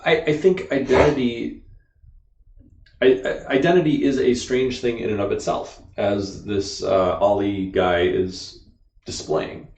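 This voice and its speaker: Low-voiced American man explaining, off-mic. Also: steady tone, unhurried, a little mellow, recorded from a distance. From United States. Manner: explains ideas at length, frequent "like" and "you know". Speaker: podcast co-host. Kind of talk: casual discussion. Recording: dull, echoey room, off-mic.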